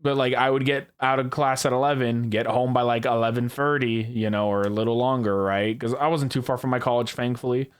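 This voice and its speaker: A man's voice: mid-range voice, steady tone, fairly quick, hardly any pauses, smooth. A man in his early twenties hosting a casual chat with friends, rambling, repeating himself and swearing freely. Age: early 20s. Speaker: podcast host.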